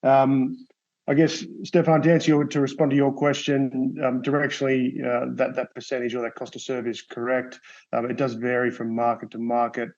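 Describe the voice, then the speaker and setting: A man with an Australian accent speaking as a company president on a panel, courteous and a little halting. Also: mid-range voice, flat delivery, a little mellow. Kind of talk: panel discussion. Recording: dull lo-fi audio.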